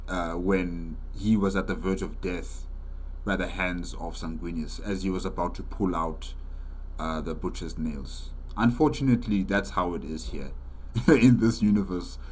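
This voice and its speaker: Man, deep voice, casual reaction commentary, informal host. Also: measured pace, darkish tone, a little hiss or hum.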